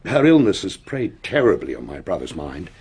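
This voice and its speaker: Very husky upper-class English gentleman. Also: low-pitched, very animated, moderate pace, exaggerated voice. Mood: sombre. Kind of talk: radio drama acting.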